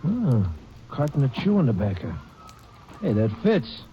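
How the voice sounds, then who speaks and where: Eager ranger partner, lively mellow voice, old-time radio. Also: male voice, medium pitch, a little slow. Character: sidekick lawman, eager and helpful. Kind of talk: 1950s radio drama acting. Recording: dull old radio recording with hiss.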